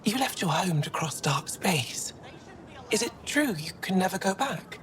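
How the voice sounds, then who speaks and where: An actress playing a warm, curious female alien curator in a video game, thoughtful and inquisitive. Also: lowish voice, lively intonation, measured pace, a little hiss or hum. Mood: awed, curious. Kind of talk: video game dialogue acting.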